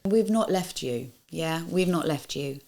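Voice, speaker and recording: Low, mellow-voiced reassuring woman. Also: lively intonation, measured pace. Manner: reassuring, soft-spoken. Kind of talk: heartfelt interview answer.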